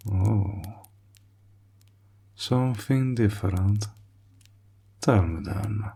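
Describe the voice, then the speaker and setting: A man playing a sly evil warlock in a roleplay audio drama, teasing and deliberate, with a breathy, gravelly low voice. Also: darkish tone, heavily acted. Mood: intrigued, teasing. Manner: slow, deliberate, drawling, insinuating. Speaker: voice actor. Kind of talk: roleplay audio drama, intimate villain monologue.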